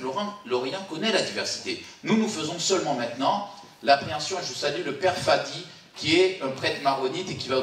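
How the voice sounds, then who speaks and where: A man's voice: medium pitch, a bit bright. A man gives an impassioned rally speech, emphatic and repeating phrases for effect. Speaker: speaker at a support rally. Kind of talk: impassioned political speech to a gathering. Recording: echoey, distant microphone, faint background noise.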